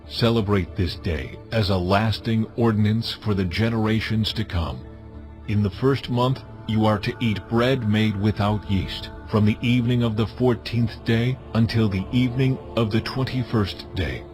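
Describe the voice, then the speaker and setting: A man playing God in a dramatized audio Bible, solemn and authoritative as he delivers measured commands. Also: on the low side, natural voice. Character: God, solemn commanding male deity giving laws. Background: music.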